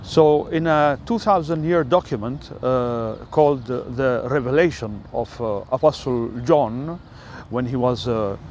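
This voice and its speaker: Older Italian-accented man, halting delivery. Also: mid-range voice, a little mellow, slight background noise. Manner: halting, many filler sounds. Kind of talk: rambling personal monologue.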